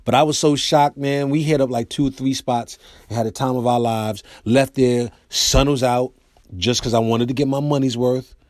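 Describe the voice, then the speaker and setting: An American man hosting his own podcast, telling comic stories in a loose, animated way. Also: medium pitch, normal speed, no echo, clean studio sound. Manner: animated storyteller, acts out quoted voices. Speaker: podcast host and comedian. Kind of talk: casual storytelling monologue.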